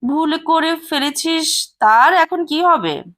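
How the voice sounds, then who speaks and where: A woman's voice: high voice, expressive, slow pace, fairly bright tone, pitched above the performer's own voice, exaggerated voice. Woman doing a brusque village landowner man. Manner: familiar, commanding. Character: older male village landowner, brusque but kindly.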